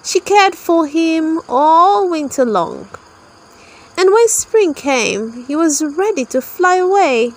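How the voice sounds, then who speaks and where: Lady reading a kids storybook out loud, real slow, lots of up and down in her voice. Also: very high-pitched, expressive. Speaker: storybook narrator. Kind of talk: scripted read-aloud narration for toddlers.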